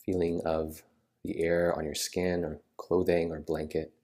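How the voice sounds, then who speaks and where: A man with a low-pitched voice leads a guided relaxation practice. His intonation stays very level and steady. He phrases things soothingly and without hurry, leaving pauses between instructions. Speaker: yoga nidra meditation guide. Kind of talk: guided meditation.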